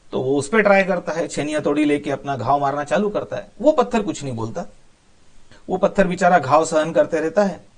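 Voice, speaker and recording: Guy telling a moral tale, kinda high voice, chatty asides, drops in english words. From India. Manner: explanatory, conversational asides, English words mixed in. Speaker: storyteller. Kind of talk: informal moral storytelling.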